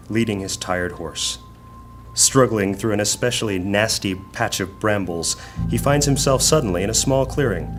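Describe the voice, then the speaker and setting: A man narrating a scripted fantasy audio drama in a deliberate, descriptive storytelling manner. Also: fairly low pitch.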